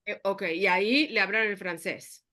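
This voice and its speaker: A woman in her fifties hosting a conversational podcast. Her voice sits at a mid pitch, and she speaks thoughtfully, pausing on hesitations and slipping English fillers into her Spanish. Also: steady tone, sharp tone. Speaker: podcast host. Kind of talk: casual conversational interview.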